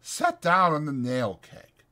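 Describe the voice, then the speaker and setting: Man voicing a bitter, insistent stable hand, pitched up. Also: slow, heavily acted. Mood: grudgingly friendly. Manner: insistent, taunting, folksy, drawn into excited speeches. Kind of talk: dramatized audiobook character voice.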